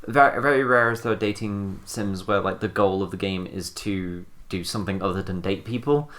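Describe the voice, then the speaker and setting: A woman's voice: a bass voice, normal speed. A woman co-hosting a podcast in casual chat, hesitant and repeating words as she thinks aloud. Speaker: podcast co-host.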